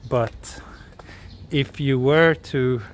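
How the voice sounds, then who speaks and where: A man from Sweden, speaking English as a second language, hosts a relaxed live walking stream outdoors and chats with viewers as he goes. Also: medium pitch, drawn-out words, slight background noise. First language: Swedish. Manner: relaxed, answers viewer chat while walking. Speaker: vlogger walking through a city. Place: outdoors on city streets.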